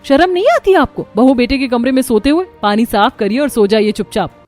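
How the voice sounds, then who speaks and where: Woman acting young Indian daughter-in-law, high voice, theatrical, family cartoon, faint music behind. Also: fairly quick, a little mellow, close to an everyday voice. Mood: haughty, annoyed. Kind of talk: animated moral-story voice acting.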